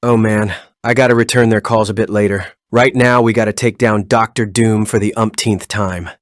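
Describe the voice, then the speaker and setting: A man's voice: on the low side, fairly even intonation, moderate pace, no room sound, studio quality, close to an everyday voice. A man playing a cocky young fire-powered superhero in fan-made game dialogue, breezy, boastful and slangy. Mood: hurried.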